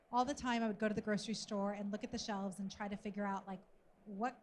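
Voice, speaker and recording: A woman's voice: medium pitch, a little mellow, a little hiss or hum. A woman from Sudan speaking as a panel guest, telling a personal story in a conversational way. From born in Sudan. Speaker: panel guest, food company founder.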